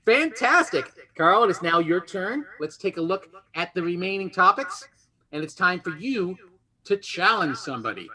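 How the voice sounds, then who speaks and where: Male game show host, high-pitched and very bright, forceful delivery, lively and conversational. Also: normal speed, low-quality recording. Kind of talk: lively quiz show hosting, partly improvised.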